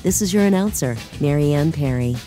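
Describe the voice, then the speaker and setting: A woman announcer with a low, mellow voice reads scripted credits. Faint music plays behind her measured presentation. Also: lively intonation.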